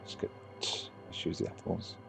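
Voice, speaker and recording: A man live-streaming a game, reading the text aloud haltingly and muttering casual asides as he plays. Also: whispered. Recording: music behind the voice.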